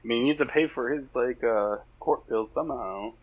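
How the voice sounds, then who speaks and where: A man's voice: mid-range voice, moderate pace, lo-fi sound. A man co-hosting a podcast in casual, jokey chat, riffing and acting out imagined lines. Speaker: podcast co-host.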